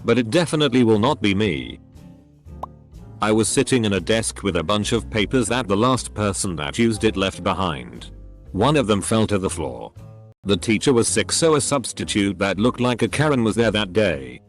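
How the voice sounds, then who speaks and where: A man narrates short anecdotes in a lowish voice whose pitch rises and falls a lot. He reads in a scripted way, spelling out abbreviations and even saying punctuation out loud. Soft background music runs quietly underneath. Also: moderate pace. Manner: reads punctuation and letters out literally. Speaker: narrator reading online posts. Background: faint background music.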